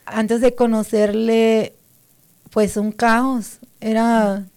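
A woman's voice: fairly high pitch, level tone, drawn-out words, clear, resonant tone. A woman from Mexico, giving a hesitant personal faith testimony as an interview guest. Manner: hesitant, many fillers and restarts, mellow.

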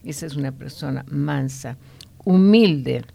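Low, gravelly woman teaching the Bible. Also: mellow. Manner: explanatory, often ending points with a questioning "no?"; unhurried, with frequent hesitation fillers.

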